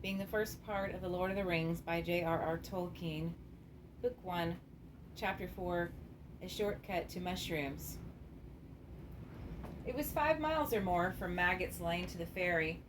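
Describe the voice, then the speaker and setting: A woman's voice: fairly low pitch, a little slow, long pauses. A woman reading scripted story narration for an amateur radio theater piece. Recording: clearly noisy amateur recording.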